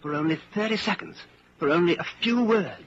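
An actor playing an anxious, suspicious office worker in a 1940s radio drama, tense and brooding in delivery. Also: male voice, sits high, fairly quick. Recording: scratchy, muffled 1940s radio broadcast.

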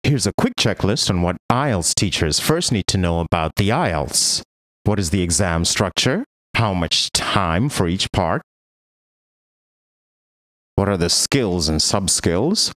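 A man reading a scripted instructional voice-over in a measured way, setting out points one by one. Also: sits low, lively intonation, a bit bright. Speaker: voice-over narrator.

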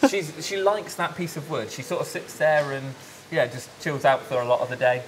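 A young man on staff at a fish shop, explaining his tanks in a relaxed way with lots of ums and yeahs, recorded in a large indoor shop. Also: on the high side, moderate pace, slight background noise, poor audio. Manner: relaxed, explains with fillers like um and yeah. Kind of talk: casual walkthrough chat.

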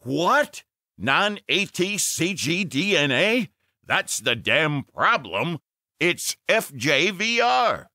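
A man voicing a panicked, foul-mouthed chief executive in a narrated story, with a strained, forceful delivery. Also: fairly high pitch, very animated, takes it slowly, pitched above the performer's own voice, exaggerated voice. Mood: exasperated.

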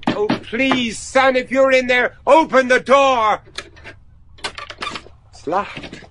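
An actor playing an older, devout judge and anguished father in a gothic horror radio drama, speaking gravely and haltingly with theatrical weight. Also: very high-pitched, noisy background. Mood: desperate, pleading. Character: anguished, devout judge and father seeking his lost son.

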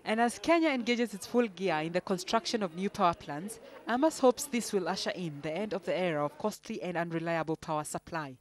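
A woman news reporter reading a scripted business news voice-over in a measured, formal way. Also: lowish voice, animated.

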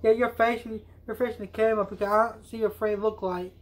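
A young adult man chatting casually on a video call, repeating himself in a halting way. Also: high-pitched, flat delivery. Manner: repetitive, halting delivery. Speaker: amateur vlogger. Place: small room at home. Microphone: phone camera at arm's length.

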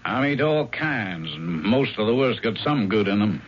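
A man's voice: on the low side, very animated, moderate pace, husky. An actor playing a wry, sardonic armed lawman in a 1970s radio drama, with a dry manner. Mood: wry, in command. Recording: dull, noisy 1970s radio recording.

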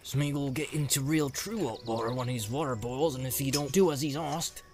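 A man's voice: mid-range voice, brisk, over music, natural voice. A man voicing a plain-spoken, stubborn young hobbit servant in an audiobook. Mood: growling, threatening. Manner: folksy, scolding, practical. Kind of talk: dramatised audiobook character voice. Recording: clear outdoor ambience noise behind.

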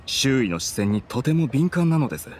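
A man's voice: medium pitch, very animated, normal speed, darkish tone, a little hiss or hum, lightly acted. A man voicing an earnest, dutiful subordinate agent who speaks formally, in a Japanese video game. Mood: apologetic, reporting.

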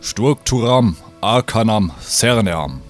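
A man's voice: fairly low pitch, lively intonation, slow, soft background music. An actor playing a stern, greedy old druid, curt and commanding, absorbed in his magic.

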